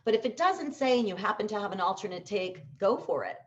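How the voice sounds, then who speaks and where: A woman's voice: medium pitch, lively intonation, quick pace, dull audio. A woman coaching in a conversational, instructional way, stressing key words by repeating them and asking rhetorical questions. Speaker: voice-over coach. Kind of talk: instructional talk with tips.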